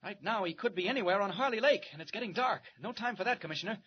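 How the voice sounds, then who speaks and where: A man acting a brave, quick-thinking reporter with a secret heroic identity in a 1940s radio serial, speaking briskly and earnestly. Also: high-pitched, animated. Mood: urgent. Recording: muffled, noisy 1940s radio recording.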